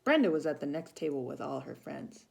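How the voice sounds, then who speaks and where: A woman reading a children's story aloud with animated narration and small asides to young listeners. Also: sits low, brisk, creaky, low-quality recording. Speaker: volunteer storybook reader.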